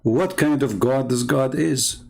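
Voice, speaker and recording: Middle-aged man, Arabic accent, sarcastic online debater, mid-pitched and scornful. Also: slow, vocal fry, darkish tone. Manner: mocking, scornful, sarcastic laughs. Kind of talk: combative commentary while reading comments.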